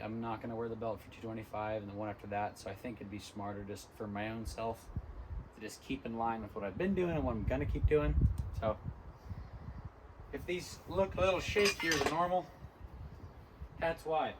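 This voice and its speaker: A tired, hoarse young man talking casually between lifts in a gym, rambling with many ums. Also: fairly low pitch, background noise. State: hoarse, lost voice from illness. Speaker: fitness vlogger. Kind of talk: casual gym vlog talk.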